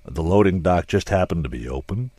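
A man's voice: low-pitched, lively intonation, fairly quick, a little mellow, dry recording. A man voicing a skeptical, brusque male police captain in an audiobook, blunt and interrogating. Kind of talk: audiobook character voice.

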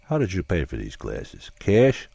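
A man's voice: low-pitched, wildly swooping pitch, unhurried, gravelly, mellow, breathy edge, dry recording, voice pushed up, heavily acted. A man voicing a skeptical, brusque male police captain in an audiobook, blunt and interrogating. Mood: suspicious. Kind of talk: audiobook character voice.

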